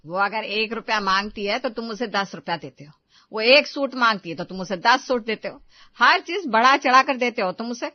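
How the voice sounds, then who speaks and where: A woman's voice: on the high side, lively intonation, moderate pace, dry recording, muffled recording, close to an everyday voice. A woman playing a caring, plain-spoken middle-aged mother in a Hindi moral story cartoon. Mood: disapproving.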